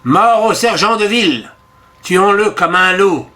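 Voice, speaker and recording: A man voicing a furious revolutionary mob, with shrill, bellowing cries for death. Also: expressive, a little slow, pushed and bright, exaggerated voice. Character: furious shouting revolutionary mob, men and women.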